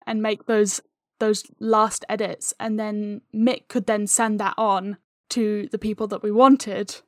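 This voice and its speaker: A woman's voice: medium pitch, little pitch movement, takes it slowly, no room sound, studio quality. A woman explaining her creative process earnestly and conversationally, as a guest in an interview. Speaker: podcast guest, audio drama writer.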